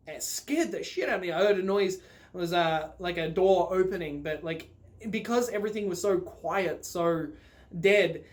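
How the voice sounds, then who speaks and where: A vlogger chats casually to viewers with a mid-pitched voice. The delivery is rambling and informal, with loose swearing and a readiness to laugh, in the style of off-the-cuff personal storytelling.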